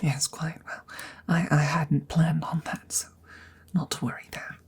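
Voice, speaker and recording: A woman plays a courteous, awkward she-dragon in an intimate ASMR roleplay. Her voice is lowish and mellow with a gravelly creak, often thinning to a whisper. She picks her words haltingly and formally, shy and smitten. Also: little pitch movement, exaggerated voice. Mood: gentle, concerned. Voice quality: husky, breathy, often slipping into whispers. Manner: hesitant, halting, formal and polite.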